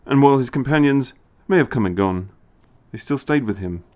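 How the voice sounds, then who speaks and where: A man narrating a scripted story in an expressive storytelling style. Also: mid-range voice, fairly quick. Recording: music behind the voice. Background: music.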